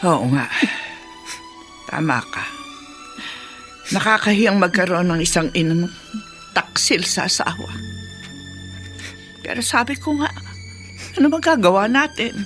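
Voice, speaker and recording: Over background music comes a fretful, outspoken old grandmother in a radio drama. Her pitch swings widely as she exclaims and passes judgment with emphatic, resigned conviction. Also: animated, measured pace, long pauses, fairly bright tone. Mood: resigned, sorrowful. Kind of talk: Filipino radio drama acting.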